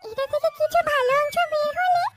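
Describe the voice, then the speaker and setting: Actor as a very high, sweet fox girl. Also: a little fast, music in the background. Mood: excited. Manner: childlike, coaxing. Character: sweet eager little girl fox, pleading. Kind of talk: children's cartoon dubbing.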